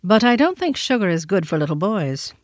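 Polite young gentleman, voiced by an audiobook reader, amused and gently paternal, expressive intonation, close dry recording. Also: medium pitch, brisk, dry recording, lightly acted.

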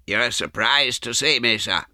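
A man voicing a wizened old book collector in a storybook, croaking, obsequious and rambling in a deferential way. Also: mid-range voice, unhurried, pushed and bright, dry recording, studio quality, pitched above the performer's own voice. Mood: apologetic, humble.

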